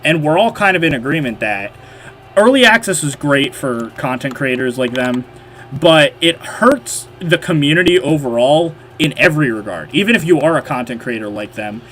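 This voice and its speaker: A man's voice: on the high side, animated, normal speed, fairly bright tone, slight background noise. A man with a nasal voice hosting a livestream, chatting casually and rambling with lots of fillers and restarts. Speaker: livestream host. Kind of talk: casual livestream chat while modding.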